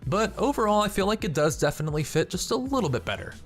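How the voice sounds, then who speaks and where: A man's voice: high-pitched, big pitch swings, fast talker. A man hosting a video game channel, reading scripted narration. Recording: background music under voice. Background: music.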